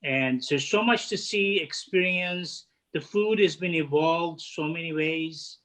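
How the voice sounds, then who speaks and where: A man in his fifties from Turkey, speaking with a Turkish accent, answering interview questions in halting speech full of "uh" fillers and restarts. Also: fairly high pitch, fairly bright tone. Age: 50s. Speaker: guest, head of catering. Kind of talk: casual interview chat.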